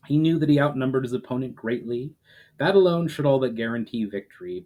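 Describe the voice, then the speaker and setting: A man reading fantasy fiction aloud as a dramatic, measured narration. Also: medium pitch, darkish tone.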